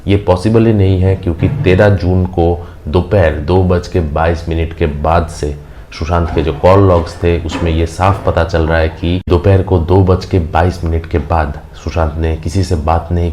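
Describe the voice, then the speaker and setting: A man's voice: low-pitched, warm tone. A man with an Indian accent giving opinionated news commentary as a host, emphatic and repetitive. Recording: faint background noise.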